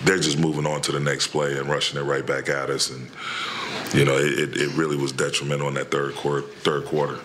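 A middle-aged man, a head coach, answering press questions after a game in a halting way with many restarts, speaking into a table microphone in a press room. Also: a bass voice, flat delivery, fairly bright tone, a little hiss or hum. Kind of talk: postgame press conference answers. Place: press room in front of a logo backdrop.

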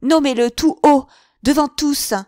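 A woman's voice: steady tone, a little slow, dry recording, studio quality. A woman voicing a desperate young noblewoman. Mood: vehement. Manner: high, loud and vehement.

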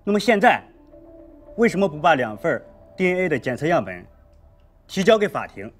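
A man's voice: a little high, very animated, fairly quick, faint music behind. An actor in his thirties playing an earnest, insistent defence lawyer in a film, speaking emphatically. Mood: pointed, controlled. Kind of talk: courtroom argument in a film.

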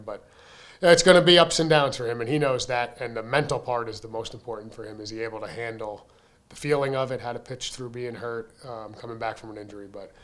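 A man in his thirties, a baseball coach answering questions at a postgame press conference, talking candidly with lots of fillers and bursts of emphasis into a desk mic. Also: lowish voice. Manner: candid, conversational, frequent fillers, energetic emphasis. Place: press room in front of a sponsor backdrop. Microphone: desk mic on a stand.

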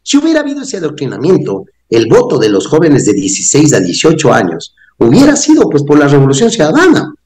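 A man's voice: a little high, huge pitch swings, a little fast, few pauses, a little mellow. A middle-aged man, a guest analyst giving mocking, crude commentary on a video call. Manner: sarcastic, crude, insulting. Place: home office, indoors. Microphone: webcam on a video call. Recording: echoey room on a webcam.

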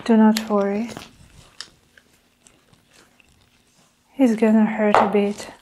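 A young woman acts out a sinister nurse-doctor in an ASMR roleplay. The delivery is soft, measured and reassuring, with very little pitch movement and frequent whispering. Some background noise is audible. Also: flat delivery, natural voice. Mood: soothing. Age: young adult. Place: small indoor room with curtain backdrop.

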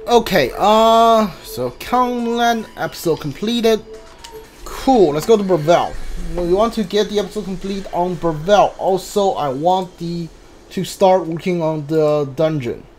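A man's voice: sits high, measured pace, darkish tone, slight background noise. A man giving casual playthrough commentary as a gaming streamer, rambling as he thinks aloud. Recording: game music behind the voice.

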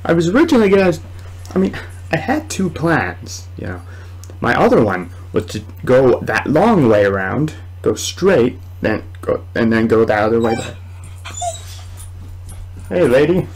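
A young man giving casual, excitable commentary while playing a horror game, muttering and exclaiming to himself. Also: mid-range voice, measured pace, husky, poor audio. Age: young adult. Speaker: gamer recording a let's play. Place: small indoor room at home.

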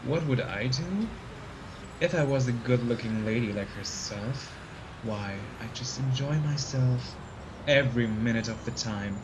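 An actor playing a folksy, easily spooked young hitchhiker in an old-fashioned radio play, slangy and colloquial. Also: male voice, mid-range voice, unhurried, background noise, natural voice. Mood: easygoing, chatty.

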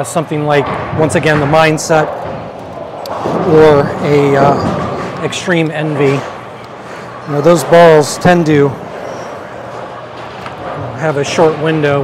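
A middle-aged man giving a casual product review as he demonstrates, talking inside a large bowling alley. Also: on the high side. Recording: noisy bowling alley ambience.

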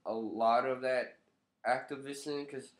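A man's voice: medium pitch, fairly even intonation, unhurried, roomy sound. Nasal young man, casual profane vlog. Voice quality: nasal from a cold. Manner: loose, profane, offhand. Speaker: vlogger. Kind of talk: casual commentary to camera. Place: living room by a window.

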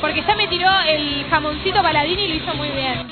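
A woman's voice: sits high, fast talker, phone-quality sound, loud music behind the voice. A woman co-hosting a TV show, chatty and telling anecdotes with laughter. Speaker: TV co-host. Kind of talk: live TV studio banter.

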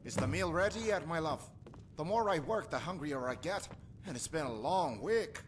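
A man's voice: on the high side, lively intonation, normal speed, slight background noise, close to an everyday voice. An actor playing a superstitious rustic husband and father in a video game, folksy, breezy and matter-of-fact about grim things. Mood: jovial. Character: jovial superstitious rustic husband and father, callous, cheerfully macabre. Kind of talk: video game character acting.

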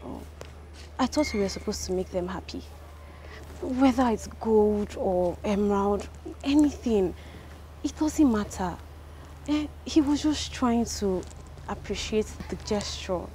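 A woman's voice: medium pitch, measured pace, warm tone, lightly acted. A woman playing a defensive young receptionist. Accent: Nigerian. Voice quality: defensive and pleading. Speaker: clinic receptionist. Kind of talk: film drama acting. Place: outdoors on a clinic balcony. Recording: outdoor ambience with clear noise.

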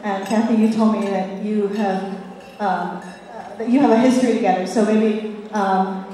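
A woman's voice: medium pitch, fairly even intonation, normal speed, dark tone, a little hiss or hum. Echoey woman moderating from a podium. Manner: hesitant, many fillers. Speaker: event moderator. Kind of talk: live panel introduction and moderation. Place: large auditorium stage. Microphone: stand mic at podium, far camera. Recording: echoey hall from far off.

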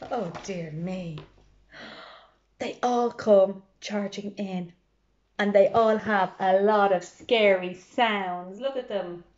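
A woman reading aloud to children in an expressive way, adding chatty asides as a storytime librarian. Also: mid-range voice, lo-fi sound. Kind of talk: animated children's read-aloud.

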